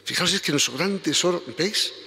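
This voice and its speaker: A man's voice: high voice, moderate pace, creaky, sharp tone. An older man in his sixties, a priest with a Spanish accent from Spain, preaching a homily at Mass in a conversational way that rises to fervent emphasis. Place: large church, indoors. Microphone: stand microphone at lectern. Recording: long church reverb.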